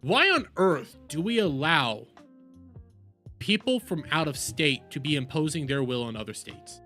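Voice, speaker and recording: A man giving a casual, exasperated political rant as a streamer, halting and repeating words, sometimes swearing. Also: on the high side, sing-song, pushed and bright, soft background music. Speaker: streamer, host.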